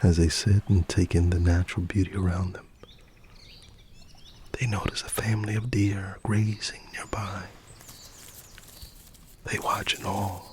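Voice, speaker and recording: A man gently narrates a bedtime story in a fairly low, hushed voice. His delivery is slow and soothing, often half-whispered, with clear nature ambience and birdsong behind it. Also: noisy background. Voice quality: soft, often slipping into whisper.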